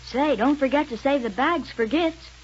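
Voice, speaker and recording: Very high-pitched woman, vintage radio commercial. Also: normal speed. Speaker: advertising announcer. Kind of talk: 1930s radio commercial, scripted sales pitch. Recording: muffled, noisy 1930s radio recording.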